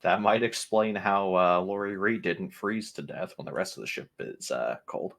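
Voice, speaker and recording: A man's voice: low voice, moderate pace, a bit bright. A man playing a tabletop roleplaying game, chatting casually with a joking, sweary manner.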